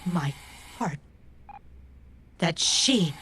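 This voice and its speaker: A woman playing a proud, loyal robot companion in a cyberpunk audio drama, speaking in formal, precise, measured phrases with a husky voice. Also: on the low side, lively intonation, a bit bright, a little hiss or hum, full character voice. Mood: measured, offended.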